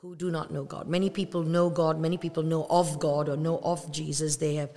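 A woman's voice: sits low, level tone, dark tone. A woman from Malaysia, an evangelist guest, speaking earnestly and reflectively about her faith in a conversational way. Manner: earnest and reflective, with pauses and fillers. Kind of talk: conversational testimony.

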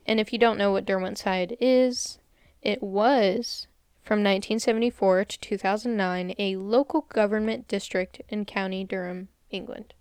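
A woman's voice: mid-range voice, fairly even intonation, moderate pace, hardly any pauses, darkish tone, clean tone, no echo. Woman cohost chatting away on a crime podcast, dry close mic, laughs a lot. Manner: chatty, laughs often, stumbles on place names. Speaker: podcast co-host. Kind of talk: casual podcast chat.

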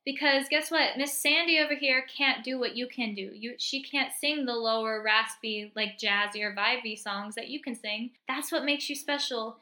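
A woman's voice: a little high, moderate pace, hardly any pauses, pushed and bright. A young woman hosting a casual solo podcast, talking in an emphatic, encouraging pep-talk way. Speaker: podcast host.

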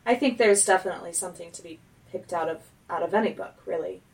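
A woman hosting a podcast chats casually in a lowish voice. She is an affirming listener who keeps the talk going with frequent small agreements. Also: quick pace, vocal fry, poor audio. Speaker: podcast host. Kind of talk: casual interview chat.

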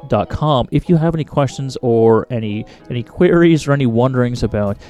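A man's voice: medium pitch, fairly quick, warm tone, lo-fi sound, faint music behind. A man co-hosting a podcast in casual chat, rambling with frequent restarts and jokes. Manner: rambling, restarts often, jokey. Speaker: podcast co-host. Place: small podcast studio.

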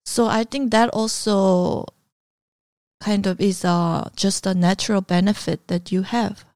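A woman hosts a casual interview, asking probing questions. She speaks at a mid pitch and leans on fillers as she works out her questions. Her voice sounds dry and close. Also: steady tone, a little slow, darkish tone, no echo. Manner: probing, hesitant phrasing with many fillers.

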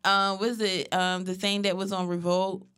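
A woman co-hosting a podcast in casual chat, speaking in a natural monotone, blunt and profane as she lays out a story at length. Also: mid-range voice, normal speed, clear, resonant tone. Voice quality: self-described natural monotone. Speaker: podcast co-host.

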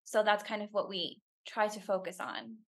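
A woman's voice: medium pitch, lively intonation, normal speed. A woman whose first language is Spanish, a guest telling stories reflectively in a casual interview. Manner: reflective, storytelling.

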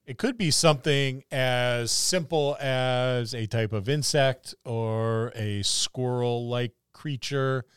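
A man's voice: mid-range voice, slow, hardly any pauses, smooth, no room sound, studio quality. A man co-hosting a podcast, chatting casually about tabletop gaming in a joking, musing way. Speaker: podcast co-host.

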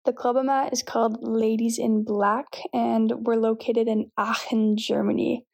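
A young Canadian woman gives a cheerful, self-mocking update about her playing season. Her voice sits a little high, with a gravelly fry at the ends of her phrases. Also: level tone, measured pace, a little mellow. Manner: upbeat, self-deprecating. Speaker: professional volleyball player. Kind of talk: scripted update to camera.

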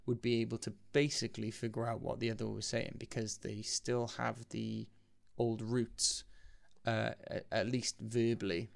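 Gravelly man, sweary podcast banter. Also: medium pitch, flat delivery, normal speed. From Wales. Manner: sweary, jokey, explains things at length. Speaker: podcast co-host. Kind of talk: casual podcast chat.

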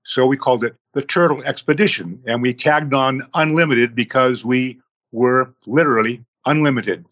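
A man in his sixties, a podcast guest who tells his story slowly, in numbered steps. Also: mid-range voice, fairly bright tone, dull audio.

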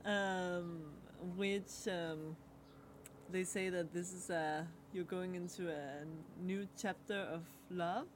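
A young adult woman whose first language is Danish, co-hosting a podcast and giving a relaxed card reading in a halting way, with many fillers and pauses. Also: on the low side, slight background noise. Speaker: podcast co-host. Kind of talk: casual chat, card reading.

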